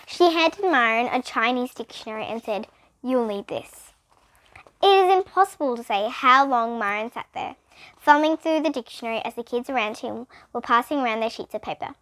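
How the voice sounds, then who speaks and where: A woman's voice: on the high side, lively intonation, a little fast, fairly bright tone, poor audio. A female reader narrating a children's storybook aloud, with occasional explanatory asides.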